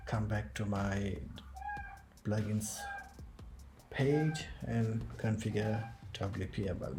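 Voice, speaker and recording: Low-voiced man walking through a software setup. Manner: step-by-step, walking through clicks. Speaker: tutorial host. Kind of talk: screen-recorded software tutorial.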